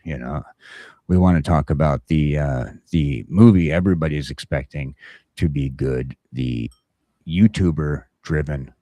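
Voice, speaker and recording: Very deep-voiced man hosting casually. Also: unhurried, dark tone, dry recording, studio quality. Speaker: talk show host. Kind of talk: casual livestream chat sign-off.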